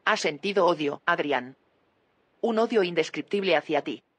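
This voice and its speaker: An actress playing a cautious, measured woman scientist in a naturalistic audio drama. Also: on the low side, lively intonation, a bit bright, breathy edge, no room sound. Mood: grave, worried. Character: uneasy, cautious woman scientist who reads thoughts.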